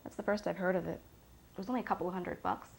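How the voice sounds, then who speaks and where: An actress plays a weary, defensive woman with a creaky voice that swings widely in pitch. She answers bluntly and dismissively, in a natural conversational drama style. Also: sing-song, racing, heavily acted. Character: defensive, weary woman under questioning about failed relationship.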